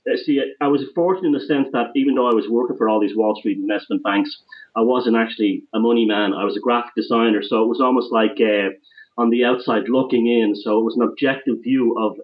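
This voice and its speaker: An Irish man, a guest author, telling his story in a rambling, conversational way over the phone. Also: medium pitch. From Ireland. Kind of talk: phone interview. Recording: dull, distant phone line.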